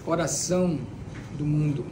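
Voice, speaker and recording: A man from Maranhão, Brazil, speaking as a state deputy in measured, declarative phrases, pausing for an interpreter. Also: on the high side, steady tone, warm tone, slight background noise. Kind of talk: political speech for interpretation.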